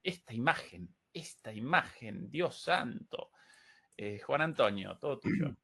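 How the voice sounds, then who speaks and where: A man's voice: mid-range voice, animated, moderate pace, vocal fry, sharp tone. A man hosting a live political talk show and commenting as he goes.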